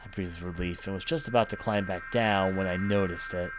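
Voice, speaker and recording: A man's voice: low voice, steady tone, fairly quick, a little hiss or hum. A man reading a horror visual novel aloud as a let's player, in dramatic first-person story narration. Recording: music behind the voice. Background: game music.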